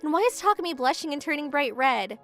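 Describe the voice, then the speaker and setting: Woman acting high-pitched huffy schoolgirl Yuri. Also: animated, fast, fairly bright tone, soft background music. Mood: quietly conflicted. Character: Yuri, tsundere teenage girl, jealous childhood friend in denial. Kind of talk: manga dub romcom acting.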